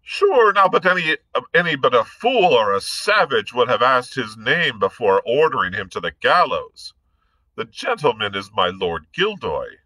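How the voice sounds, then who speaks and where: Man putting on a cool witty doctor, dry and composed, slow, bright tone. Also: medium pitch, sing-song, dry recording, voice pushed up, heavily acted, rough recording. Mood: wry. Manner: wry, composed. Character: witty, cool Irish physician, middle-aged man.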